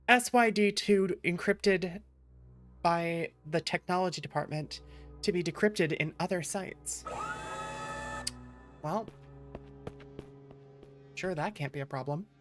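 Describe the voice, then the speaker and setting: A woman's voice: fairly low pitch, takes it slowly, pauses often, soft background music. A woman from the American Midwest giving chatty, casual gameplay commentary, reacting aloud as she plays. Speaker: game streamer.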